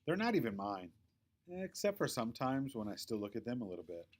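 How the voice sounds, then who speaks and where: Storybook lead: quirky, earnest schoolgirl, read by a man. Eager, chatty, expressive, very animated melody. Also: mid-range voice, fairly quick. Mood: earnest, defensive. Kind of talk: children's storybook read-aloud.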